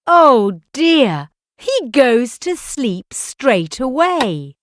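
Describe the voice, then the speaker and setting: Very slow, animated woman storyteller. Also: high voice, noisy background. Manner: expressive, exclamatory, addressing young listeners. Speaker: children's storyteller.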